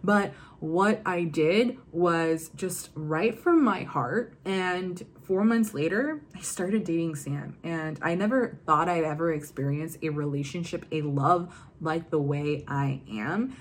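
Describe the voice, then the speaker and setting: A woman's voice: deep voice, slow, few pauses, slight background noise. A young woman working as a love and confidence coach, talking casually and leaning on the phrase "go ahead and.". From Virginia, US. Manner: chatty, repeats "go ahead and". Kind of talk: casual talk to camera.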